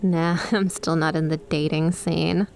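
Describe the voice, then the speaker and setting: A woman's voice: low-pitched, fairly even intonation, measured pace, creaky, a little mellow, slight background noise. A woman playing an affectionate young snake-girl best friend in an ASMR roleplay, teasing and chummy as she talks to the listener.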